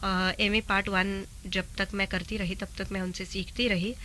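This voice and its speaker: A woman from Mumbai answering interview questions, haltingly searching for her words. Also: medium pitch, level tone, pushed and bright, a little hiss or hum. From Mumbai, India. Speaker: interview guest, singer.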